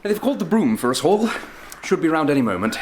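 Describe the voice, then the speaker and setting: An actor playing a breezy, snobbish gentleman with an upper-class English accent in a period radio drama. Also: fairly high pitch, sing-song, quick pace, slight background noise.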